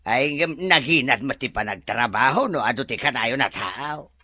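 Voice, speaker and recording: A man's voice: mid-range voice, moderate pace, dry recording. A man playing an older, talkative resort worker in an Ilocano Filipino audio drama, obliging and teasing, full of interjections. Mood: obliging, chatty. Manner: animated, full of interjections and chuckles.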